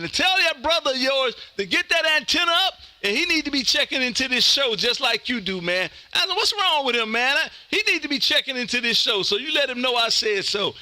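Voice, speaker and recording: American male radio host, high pitched and very bright, folksy and emphatic, recorded dry and close. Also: no room sound. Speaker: ham radio show host. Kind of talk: lively amateur radio on-air chat.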